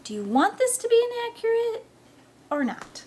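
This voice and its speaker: A woman voicing a bossy, excitable, nerdy boy scientist in a story reading. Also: on the high side, slow, a little hiss or hum. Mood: bossy, precise.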